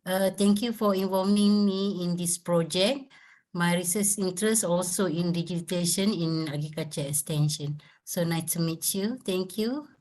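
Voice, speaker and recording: A woman from Malaysia, a university lecturer and researcher, gives a brief, soft-spoken introduction on a video call. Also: on the low side, moderate pace.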